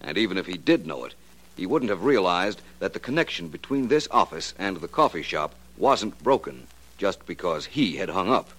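A cool gentleman detective in an old radio mystery. The voice is fairly low, with very animated intonation and an assured, explanatory manner. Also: normal speed, exaggerated voice, low-quality recording. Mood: matter-of-fact. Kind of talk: 1950 radio crime drama acting.

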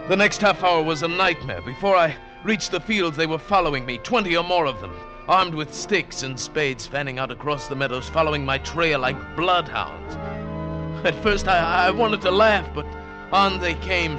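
A man's voice: fairly high pitch, animated, moderate pace, lightly acted. An actor playing a desperate, hot-tempered trapped man, in a theatrical mid-century radio drama style. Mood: haunted narration. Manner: intense, theatrical. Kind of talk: 1940s-50s radio drama acting and narration. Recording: old radio broadcast with music underneath.

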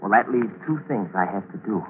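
A man's voice: fairly low pitch, lively intonation, brisk, close to an everyday voice. A man in a 1940s radio drama playing a scheming plotter who also narrates, clipped and commanding. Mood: cold, commanding. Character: cool, scheming male schemer plotting a cover-up. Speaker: narrator and lead character. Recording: tinny old radio broadcast with hiss.

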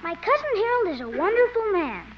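Very high-voiced earnest little boy. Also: big pitch swings, a little fast, a loud crowd. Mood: innocent, earnest. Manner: innocent, earnest. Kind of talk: 1950s radio comedy acting. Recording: very noisy muffled 1950s radio recording.

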